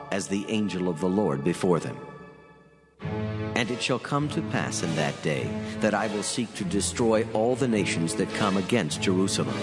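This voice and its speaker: A very deep male voice rings out in long reverb, a majestic, solemn God. The weighty scripture declamation has clear music behind it. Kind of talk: dramatized scripture reading.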